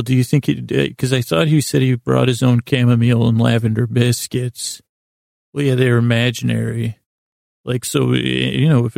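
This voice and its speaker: Very creaky, rambling male podcast host. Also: mid-range voice, a little mellow, dry recording, clean studio sound. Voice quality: very creaky, gravelly voice. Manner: meandering, halting, trails off mid-thought. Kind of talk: rambling bedtime storytelling monologue.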